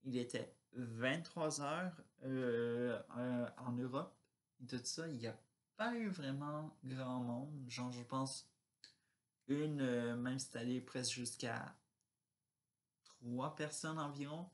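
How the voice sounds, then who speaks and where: A man talks casually to his vlog viewers at a slow pace. His delivery is halting and rambling, as he searches for words and lets sentences trail off. His pitch sits in a middle male range. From Quebec. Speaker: vlogger.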